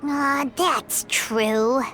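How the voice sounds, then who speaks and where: Squeaky, eager little sidekick girl. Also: extremely slow. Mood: eager, feisty. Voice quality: squeaky, childlike. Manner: exclamatory, refers to self in third person. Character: tiny childlike floating companion girl, eager and spirited. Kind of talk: English game dub acting. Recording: loud music behind the voice.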